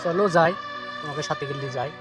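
A man's voice: a little high, fairly even intonation, fast talker, close to an everyday voice. A man playing an inquisitive, coaxing grandson with a rural Bengali accent, asking questions and cajoling in an amateur village comedy skit. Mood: concerned. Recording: loud music over the dialogue.

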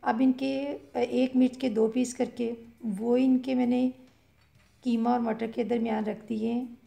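Gravelly-voiced woman explaining a recipe. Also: a little high, a little slow. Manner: calm step-by-step explaining with fillers. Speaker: cooking channel host. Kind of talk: voice-over cooking instruction.

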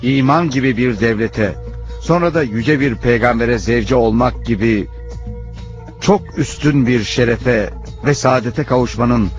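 Casting: man as devout, loyal grown son in a cartoon, firm and respectful, medium pitch. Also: a little slow, soft background music, close to an everyday voice. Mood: reproachful. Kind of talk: religious cartoon dubbing. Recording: dull lo-fi cartoon soundtrack.

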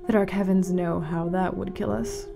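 A woman playing a grave, mournful radio announcer in a fiction podcast, speaking solemnly and dramatically with weighted pauses. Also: lowish voice, steady tone, vocal fry, music in the background. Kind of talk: scripted fiction-podcast narration as a community radio broadcast.

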